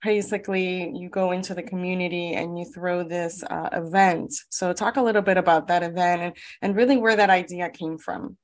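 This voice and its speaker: A woman's voice: on the low side, steady tone, normal speed, few pauses. A woman hosting an interview, chatty and affirming, asking questions with many "um"s and "right?" checks. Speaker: interview host. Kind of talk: conversational interview.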